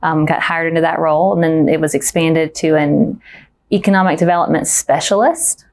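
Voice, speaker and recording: A woman's voice: sits low, steady tone, moderate pace, darkish tone. A woman in her thirties from Mississippi, an economic development director answering interview questions in a friendly, matter-of-fact way. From Mississippi, USA.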